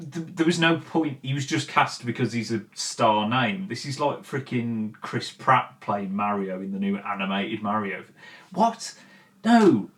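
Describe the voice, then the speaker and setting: A man's voice: medium pitch, a bit bright. A man hosting a commentary show, talking in a casual, opinionated rant with emphatic, exasperated delivery and frequent swearing. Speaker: host of a film and game commentary show. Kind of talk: opinionated video essay rant, casual and conversational.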